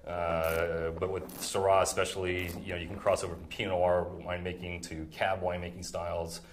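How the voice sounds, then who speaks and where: A man in his forties with a very low, deep voice answers questions in a relaxed, halting way, filling his pauses with drawn-out ums. A faint hum of room noise sits under him. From southern United States. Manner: easygoing, halting, many "uh"s. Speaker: winemaker guest. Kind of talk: casual interview answers. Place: indoor room with air conditioning.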